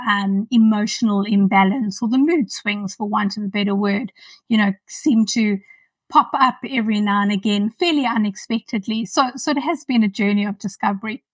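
A woman in her fifties with a South African accent, a podcast guest talking thoughtfully with many pauses. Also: mid-range voice, no room sound, recorded from a distance. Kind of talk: casual interview.

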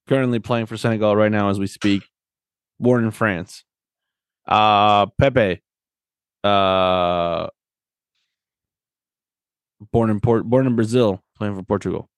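A man's voice: fairly low pitch, takes it slowly, long pauses, clear, resonant tone, no echo. A man in a casual sports discussion, co-hosting as a coach and often checking in for agreement. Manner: conversational, often seeks agreement with tag questions. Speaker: podcast host and soccer coach.

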